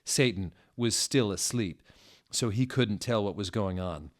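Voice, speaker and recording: Guy with a lowish voice narrating fan fiction, theatrical comic reading. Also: a little slow.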